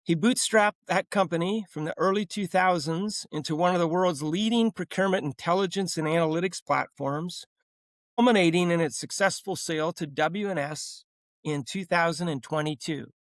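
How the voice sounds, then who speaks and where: A man's voice: a little high, moderate pace, no echo. A man hosting a business podcast, introducing his guest and asking questions in a friendly, loosely rambling way. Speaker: podcast host. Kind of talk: interview hosting.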